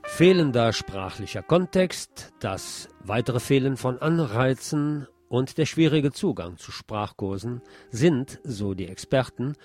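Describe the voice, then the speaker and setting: A man's voice: medium pitch, darkish tone. A man hosting a radio magazine, reading scripted news reports in a presenter's role. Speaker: radio host and presenter, also an author.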